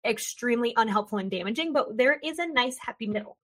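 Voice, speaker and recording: A woman hosting an interview, chatting in an animated, jokey way and acting out little quoted voices as she talks. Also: fairly high pitch, fast talker. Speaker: podcast host. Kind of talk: casual interview chat.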